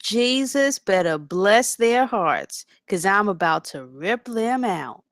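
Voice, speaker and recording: A woman at a live table read playing fierce, catty, confrontational women in a campus comedy, speaking deliberately. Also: mid-range voice, expressive, dry recording, studio quality. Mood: vengeful.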